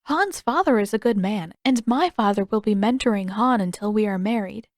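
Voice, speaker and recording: A woman voicing a gentle, regretful teenage princess in an audiobook, with soft, careful, polite phrasing. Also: medium pitch, moderate pace, dry recording, clean studio sound, natural voice. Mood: gentle, hopeful. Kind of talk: audiobook character dialogue.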